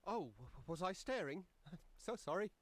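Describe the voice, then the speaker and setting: A timid young lad in a fantasy game drama. His voice is on the high side for a boyish hero and rises and falls freely. He is polite, apologetic and stammers. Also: sing-song, moderate pace, no room sound. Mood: flustered. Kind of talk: audio drama adventure game acting.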